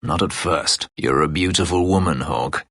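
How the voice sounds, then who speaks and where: Low husky elf fugitive, bitter, proud, guarded, terse formal speech, fantasy video game, close dry sound. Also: male voice, normal speed, fairly bright tone, no room sound. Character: brooding, bitter escaped elf slave warrior, guarded and proud.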